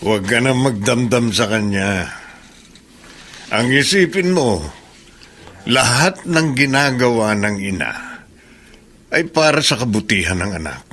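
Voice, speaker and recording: A man's voice: mid-range voice, animated, unhurried, long pauses, a bit bright, slight background noise. A man playing a kindly, consoling older mentor in prison in a Filipino radio drama. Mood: consoling, wise.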